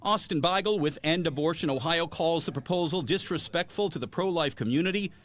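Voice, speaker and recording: A man reporting local news in an even, formal scripted delivery. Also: on the high side, brisk.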